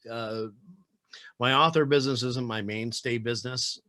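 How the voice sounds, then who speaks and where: A man's voice: medium pitch, steady tone, moderate pace, bright, forceful tone, dry recording. A man in his forties hosting an interview over a video call, talking in an easygoing, reflective way with fillers. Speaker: podcast host. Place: home room with bookshelves. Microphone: webcam on a video call.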